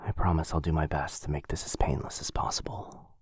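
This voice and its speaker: A man playing a gentle, protective vampire lover in an intimate boyfriend ASMR roleplay, tender and hushed, with a breathy, husky voice. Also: very deep voice, little pitch movement, fairly quick, no echo. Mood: hesitant, caring. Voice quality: breathy, husky, often whispering. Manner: tender, hesitant, reassuring, hushed. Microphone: close microphone.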